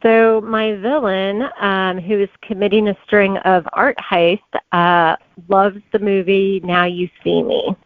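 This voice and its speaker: A woman's voice: medium pitch, little pitch movement, slow pace, hardly any pauses, no room sound, phone-quality sound. Woman author guest, dry mid-range voice. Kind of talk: conversational interview answers.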